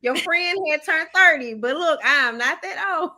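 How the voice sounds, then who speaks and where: A woman's voice: high-pitched, expressive, normal speed, pushed and bright. A woman in her thirties co-hosting a casual chat show, playful and laughing, reading out viewer comments. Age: 30s. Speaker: co-host.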